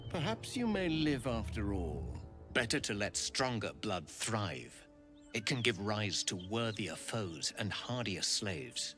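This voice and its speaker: Haughty, menacing alien warrior. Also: male voice, on the low side, very animated, takes it slowly, a bit bright, soft background music, heavily acted. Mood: scornful. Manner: imperious, contemptuous, formal threats. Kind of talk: fantasy video game voice acting.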